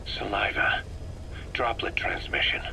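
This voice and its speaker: A blunt, bright-voiced commander. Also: male voice, a little slow, a little hiss or hum, poor audio. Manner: blunt, questioning, worried. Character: adult male military commander, blunt and wary. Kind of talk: video game cassette tape dialogue.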